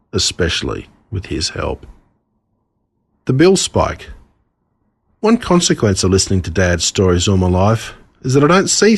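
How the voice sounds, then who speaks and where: An Australian man reading his own humorous stories as scripted narration, in an expressive, wry way. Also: sits low, gravelly, breathy edge.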